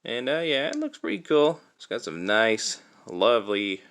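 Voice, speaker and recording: A young man giving a casual, unscripted toy review in a chatty, halting way, recorded on a cell phone. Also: medium pitch, big pitch swings, a bit bright. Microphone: cell phone used as camera.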